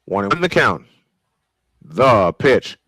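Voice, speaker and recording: A man's voice: on the low side, big pitch swings, slow pace, fairly bright tone, breathy edge, dry recording. Man calling baseball play-by-play, dry close sound. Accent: American. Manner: old-school broadcast delivery. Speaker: play-by-play sportscaster. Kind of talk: live baseball commentary with casual asides. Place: small home-style studio.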